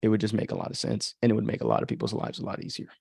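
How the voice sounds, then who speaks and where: A man's voice: on the low side, big pitch swings, rapid-fire, creaky, a little mellow, husky, dry recording. Casual man commenting, very dry close sound. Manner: informal, rambling, mild swearing, frequent "like" and "right?". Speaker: podcast host and author commenting on his own story.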